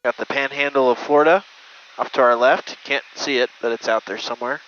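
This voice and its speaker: A man's voice: mid-range voice, fairly even intonation, brisk, crowd noise. A man narrating a casual in-flight vlog as a pilot, speaking from a small jet cockpit on a headset. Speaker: pilot and vlogger. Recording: dull headset audio, faint engine noise.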